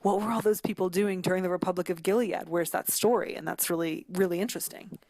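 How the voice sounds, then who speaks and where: Low-voiced woman, thoughtful and halting. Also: fairly even intonation, darkish tone. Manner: thoughtful, halting, searching for words. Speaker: podcast guest commentator. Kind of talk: conversational analysis.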